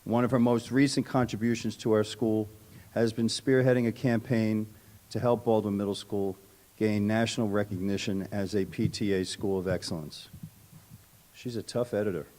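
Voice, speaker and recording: A man with a fairly low voice speaks as a school administrator. He delivers a prepared tribute at a public meeting, slipping in informal asides. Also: steady tone, moderate pace, mellow.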